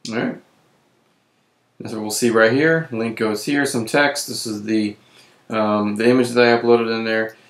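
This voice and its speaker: A man with a voice that is giving out, who clears his throat often and keeps correcting himself while walking through software on screen. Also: lowish voice, fairly even intonation, normal speed, pauses often, echoey room. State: losing his voice. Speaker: web tutorial presenter. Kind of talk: screen-recorded software walkthrough.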